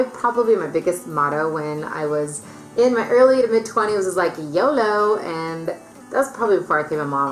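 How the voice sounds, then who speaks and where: A woman's voice: medium pitch, reverberant, low-quality recording. A woman of thirty, chatting upbeat to camera as a mom vlogger, laughing often. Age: 30s. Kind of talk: casual chat to camera.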